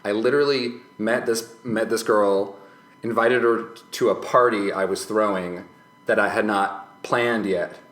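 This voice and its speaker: A man's voice: fairly low pitch, steady tone, a little slow, fairly bright tone. A man as a podcast guest in casual personal conversation, speaking hesitantly and often correcting himself mid-phrase. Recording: roomy, echoing podcast recording.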